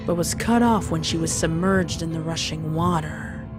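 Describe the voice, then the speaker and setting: A woman's voice: sits low, moderate pace, over music. A woman narrator reading a scripted, dramatic story.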